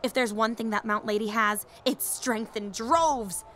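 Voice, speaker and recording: A woman's voice: on the high side, measured pace, a bit bright, slight background noise. A woman voicing a young, nerdy female hero fan and teacher in an audio roleplay, eager, rambling and easily flustered. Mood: fascinated, analytical.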